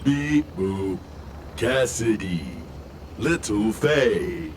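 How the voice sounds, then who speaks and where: A man playing a simple, childlike, homesick robot in a video game, speaking in halting, simple phrases. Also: fairly low pitch, animated, heavy background noise.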